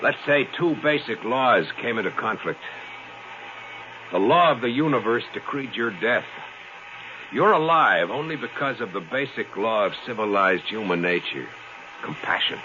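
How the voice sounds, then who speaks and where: A very low male voice of an actor plays a cautious, high-minded space explorer. He reasons and lectures his partner in classic 1950s radio drama manner. The recording is dull and old, like a vintage broadcast. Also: animated, moderate pace, noisy background, lightly acted. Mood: stern, earnest.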